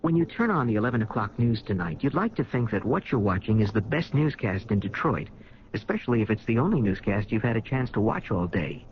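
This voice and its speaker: A man's voice: lowish voice, very animated, fairly quick. An American man reading a scripted promotional announcement as a commercial announcer. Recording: dull, hissy old radio broadcast.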